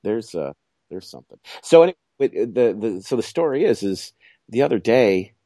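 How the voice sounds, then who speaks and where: Middle-aged man for a podcast co-host: low-ish, dry close mic, rambling self-mocking humor. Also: big pitch swings, moderate pace, warm tone, no room sound. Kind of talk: casual chat.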